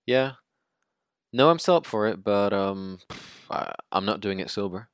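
An actor playing a haunted young Mexican man in his twenties, telling his tragic story in a halting, sweary, informal monologue for an audio drama. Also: deep voice, dry recording, studio quality, natural voice. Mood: reluctant, edgy. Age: 20s.

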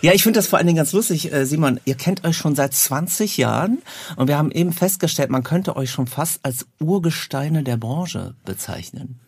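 A man's voice: fairly high pitch, expressive, brisk, breathy edge, no echo. A man hosting a talk podcast, chatting and presenting to listeners and guests. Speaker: podcast host and interviewer.

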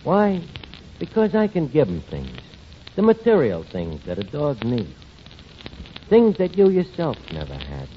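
A man's voice: on the high side, wildly swooping pitch, measured pace, pauses often. An actor playing a scheming tavern manager in a 1940s radio comedy, with ungrammatical, wheedling salesman's patter. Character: scheming, fast-talking tavern manager, small-time promoter with grand ideas. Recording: muffled, noisy 1940s radio recording.

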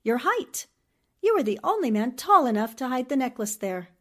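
A woman playing a sharp-witted, self-assured newspaperwoman who explains things precisely, in a 1940s-style radio drama. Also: high voice, very animated, normal speed. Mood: pointed.

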